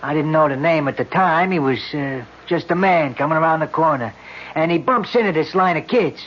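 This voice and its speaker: An actor playing a plain-spoken working-class street eyewitness in a radio drama, talking in a halting, rambling, colloquial way. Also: male voice, on the high side, animated. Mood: earnest, halting. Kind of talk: 1970s radio drama acting. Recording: dull old radio broadcast recording.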